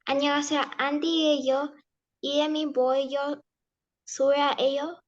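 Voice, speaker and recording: High, hesitant woman student, lo-fi line. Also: little pitch movement. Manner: hesitant, halting. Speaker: language student.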